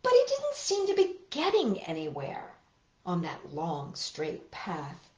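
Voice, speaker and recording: A middle-aged woman hosting a children's storytime, with an expressive, storytelling way of talking, recorded in a living room. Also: lowish voice, slight background noise. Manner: animated, expressive, deliberate phrasing when reading. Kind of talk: storytelling for children, talk and picture-book reading. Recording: roomy echo, dull lo-fi sound.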